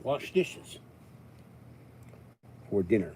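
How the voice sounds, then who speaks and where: An older American man hosting his own channel, talking in a rambling, casual way with jokey asides and frequent throat-clearing. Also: fairly low pitch, big pitch swings, very slow, background noise. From Pittsburgh, United States. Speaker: YouTube channel host. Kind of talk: rambling casual monologue to viewers.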